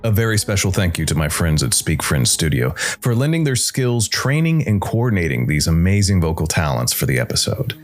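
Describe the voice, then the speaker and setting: A man hosting and narrating a scripted story podcast in a measured way, with dramatic pauses. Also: sits low, big pitch swings. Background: soft music bed.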